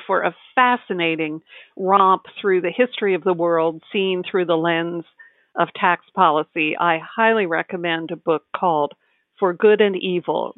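A woman giving explanatory answers as an interview guest, articulate and measured, speaking in full, well-formed sentences. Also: lowish voice, dry recording. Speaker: tax advisor, interview guest. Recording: tinny phone-like line, dry.

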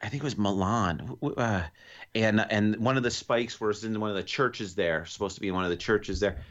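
New York man, low-pitched, rambling. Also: a bit bright, dull audio. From New York, United States. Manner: halting, rambling, jokey storytelling. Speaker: podcast co-host. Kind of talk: casual podcast chat.

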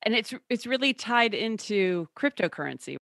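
A woman's voice: on the high side, sing-song, moderate pace, creaky, fairly bright tone, dry recording, clean studio sound. A woman hosting an interview, asking short, inquisitive questions. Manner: inquisitive, brief prompting questions. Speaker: host.

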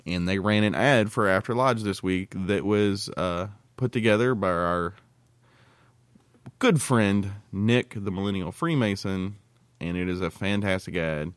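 A man's voice: low-pitched, unhurried, smooth, dry recording. An American man co-hosting a casual podcast, talking in a blunt, crude, colloquial way. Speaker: podcast co-host.

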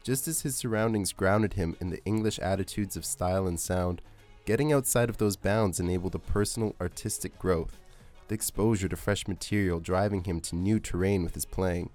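A man hosting a podcast, reading scripted music-documentary narration in a measured, essay-like way. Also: low voice, darkish tone. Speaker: podcast host. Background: music under the voice.

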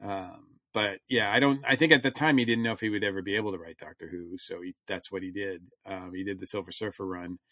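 A man's voice: low voice, fairly even intonation, fast, hardly any pauses, bright, forceful tone, no echo. A man co-hosting a podcast, chatting casually like an enthusiastic fan. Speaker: podcast co-host reviewing comics. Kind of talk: casual chat.

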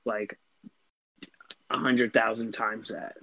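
A man's voice: on the low side, little pitch movement, takes it slowly, pushed and bright, lo-fi sound. A man co-hosting a podcast in casual, profane banter, using a lot of bro-style slang. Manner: slangy, says "bro" often. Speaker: podcast co-host.

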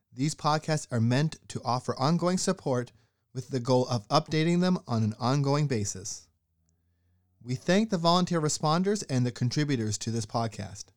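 A man with a mid-range voice reads a formal podcast announcement at a brisk pace. The recording is dry and close, with no room sound. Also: medium pitch, no room sound.

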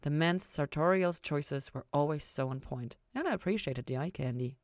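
A woman's voice: low voice, normal speed, no echo, clean studio sound. A woman reading fan fiction aloud in audiobook-style narration.

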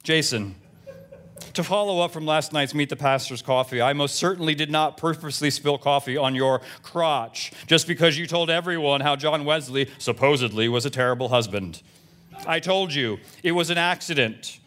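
A man's voice: fairly high pitch, normal speed, fairly bright tone, natural voice. A man voicing a nosy, self-righteous Southern church lady in her seventies, indignant and blunt, in a comic reading of emails.

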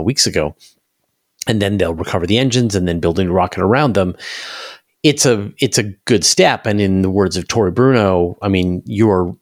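A man's voice: low-pitched, no echo, clean studio sound. A man talking conversationally as an interview guest, explaining things at length and restarting phrases as he reasons aloud. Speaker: interview guest, space news commentator.

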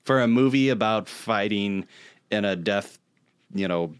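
A man's voice: on the low side, a little slow, smooth. Man chatting casually, enthusiastic podcast co-host. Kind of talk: casual pop-culture chat about movies.

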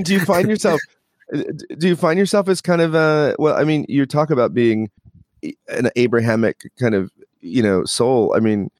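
A man's voice: fairly low pitch, a little mellow, no echo, studio quality. A man hosting a podcast, asking rambling, joking questions full of fillers. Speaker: podcast host. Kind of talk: podcast interview.

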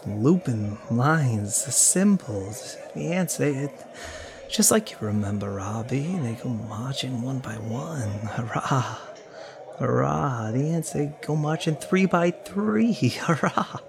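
A man's voice: medium pitch, animated, slow pace, gravelly, noisy background. A man playing an obsessed, irritable drunk in a found-footage audio drama, muttering in fragments. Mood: fixated, muttering. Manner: muttering, fragmented, singsong at times.

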